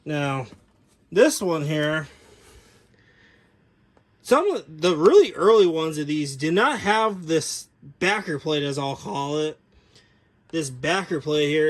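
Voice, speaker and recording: A man giving a casual, unscripted show-and-tell as a hobbyist presenter, talking in a rambling, halting, detail-focused way. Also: a little high, expressive. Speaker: hobbyist presenter showing his speaker collection.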